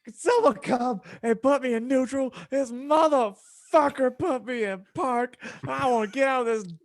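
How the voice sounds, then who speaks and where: A man puts on an agitated stranded man in a podcast anecdote. He hollers for help in a high, raised voice, swearing as he drags out his complaint. The sound is dry and close. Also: dry recording. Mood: exasperated shouting. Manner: hollering, profane, drawn-out complaint.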